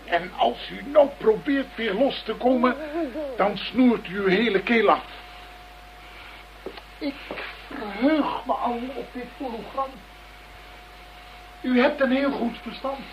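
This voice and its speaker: An actress playing a cold, gloating villain in a radio drama, formal, mocking and politely menacing. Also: female voice, fairly high pitch, takes it slowly, pauses often, muffled recording, background noise.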